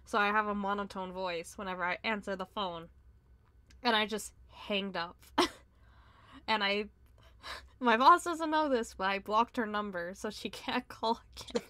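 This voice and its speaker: A woman's voice: mid-range voice, unhurried, no echo. A woman on a casual podcast telling stories about customer calls, mimicking the callers and laughing along. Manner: lively storyteller, mimics callers, laughs often. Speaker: podcast co-host. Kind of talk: casual chat, storytelling.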